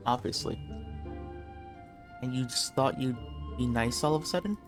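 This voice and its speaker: A man's voice: mid-range voice, moderate pace. A man giving casual gameplay commentary as a streamer. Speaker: gaming streamer. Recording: game music behind. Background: game music.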